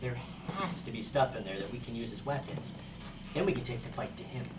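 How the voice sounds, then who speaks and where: A woman's voice: very deep voice, animated, brisk. A woman reading character parts at a comedy script table read. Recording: noisy echoey room, far from mic.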